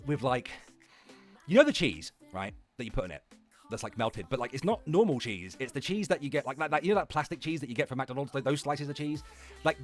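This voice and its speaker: A man hosting a livestream with mocking reaction commentary, foul-mouthed, exasperated and sarcastic. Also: on the high side. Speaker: livestream host.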